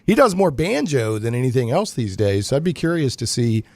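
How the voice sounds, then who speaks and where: A man's voice: mid-range voice, big pitch swings, a little fast, darkish tone, dry recording. A man hosting a radio show, chatting casually in a jokey, rambling way with frequent digressions. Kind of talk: casual, rambling interview chat.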